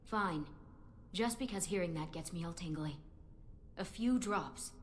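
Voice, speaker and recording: A woman's voice: fairly low pitch, measured pace, lightly acted. A young elven warrior woman from a fantasy game, played by an actress. She is direct and dryly sardonic, with little patience in her phrasing. Faint noise sits behind the voice. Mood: grudging, sarcastic. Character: wry, blunt young female elf warrior. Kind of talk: video game character acting.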